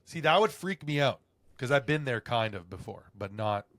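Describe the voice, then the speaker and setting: A young man co-hosting a podcast, teasing his partner and firing off quick, incredulous reactions. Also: medium pitch, lively intonation, a bit bright, no echo. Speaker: podcast co-host. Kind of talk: casual crude banter.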